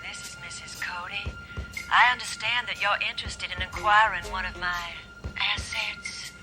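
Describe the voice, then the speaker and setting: An actress playing a sultry, flirtatious widow who speaks in a soft, breathy, seductive way. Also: female voice, mid-range voice, steady tone, moderate pace, over music, lightly acted.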